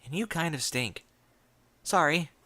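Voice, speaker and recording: A man voicing a sweet, eerily innocent little filly, in a soft childlike voice. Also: a little high, lively intonation, measured pace.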